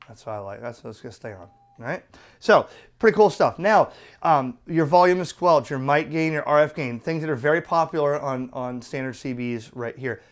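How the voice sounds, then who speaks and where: A man's voice: on the high side, lively intonation, normal speed. A middle-aged man giving a casual product review in a chatty, opinionated, colloquial way. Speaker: radio reviewer.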